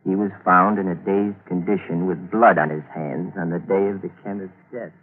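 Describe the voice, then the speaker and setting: An actor playing a cold, scheming young murderer in a 1940s radio drama, brooding and insistent. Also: male voice, low-pitched, fairly even intonation, normal speed, narrow, tinny audio. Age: young adult. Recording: thin, noisy 1940s radio recording.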